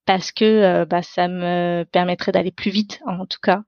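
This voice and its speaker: A woman's voice: on the low side, steady tone, a little slow, a little mellow, dry recording, lo-fi sound. A woman whose first language is Tamil, reflecting on her own life in an unscripted interview, searching for her words as she goes. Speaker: interview guest.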